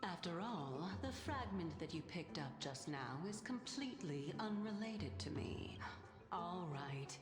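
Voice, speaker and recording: An ancient, playful noblewoman in a fantasy game. Her voice is airy and slightly low, with very slow, formal phrasing, ringing in long reverb over music. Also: animated. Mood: teasing. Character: enigmatic, playful, regal ancient lady spirit. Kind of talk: video game voice acting. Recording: reverberant hall sound with music behind.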